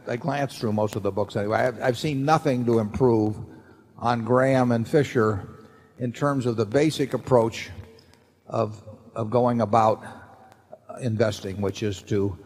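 A man's voice: lowish voice, a little mellow, husky. Older man answering shareholders in a hall. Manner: rambling, folksy, many restarts and repeated words. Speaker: company chairman answering shareholder questions. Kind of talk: unscripted answer at a shareholder meeting. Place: large hall on stage, seated at a table. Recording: long hall reverb from the PA.

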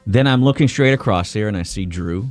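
A middle-aged man hosting morning radio in a studio, teasing in a deadpan way. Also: on the low side, fairly quick, soft background music. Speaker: radio host. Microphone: studio mic.

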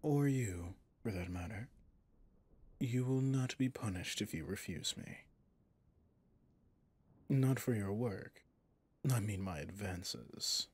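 A man playing a suave, possessive villain boss in an intimate ASMR roleplay, speaking in a courtly, seductive way with a breathy, husky voice. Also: on the low side, animated, takes it slowly, long pauses, darkish tone, full character voice. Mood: composed, commanding. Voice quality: breathy, husky, often near a whisper.

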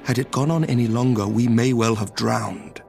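A man playing a noble young elven knight-statesman in a game cutscene, speaking formally with earnest, measured courtesy. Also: medium pitch, gravelly, a little mellow, soft background music, lightly acted. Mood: solemn, earnest.

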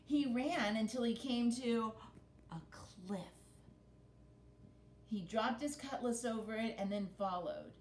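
A middle-aged woman reading a picture book aloud to children in an expressive, dramatic storytelling manner. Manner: dramatic, with pauses and gasps for effect. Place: living room by a brick fireplace, indoors. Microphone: camera a short distance away. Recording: roomy home recording with rumbling noise.

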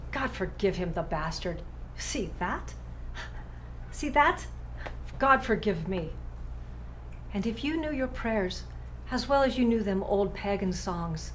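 A woman's voice: medium pitch, slight background noise, lightly acted. A woman performing a dramatic stage monologue as an anxious, righteous schoolteacher who keeps house for her family, earnest and fretful. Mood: indignant. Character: anxious, dutiful, righteous Irish schoolteacher sister keeping house.

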